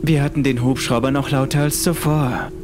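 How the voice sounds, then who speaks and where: A man's voice: medium pitch, steady tone, moderate pace. A man reading a scripted horror story as the narrator of an audiobook. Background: music.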